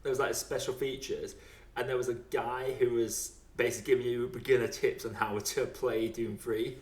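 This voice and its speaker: A man's voice: mid-range voice, fairly even intonation, normal speed, darkish tone. Echoey, chatty young British man. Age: 20s. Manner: chatty, jokey, laughs often while talking. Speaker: podcast host. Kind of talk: casual film chat. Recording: echoey room.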